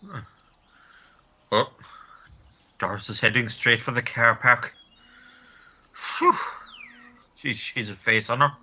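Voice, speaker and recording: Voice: medium pitch, slow, pauses often, pushed and bright, husky, muffled recording, heavily acted. An actor playing a curious, easygoing Irish man who gives bemused commentary in a comic radio play.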